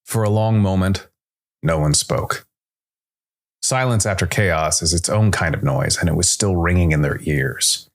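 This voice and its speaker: A man's voice: low voice, measured pace. A man narrating scripted noir science fiction as the host of a storytelling show.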